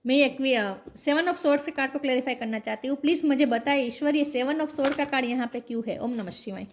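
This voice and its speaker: A woman with a sore, coughing throat, giving a tarot reading to her viewers and chanting between card draws. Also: high voice. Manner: chants a mantra between card draws, slow repeated questions. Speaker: tarot reader.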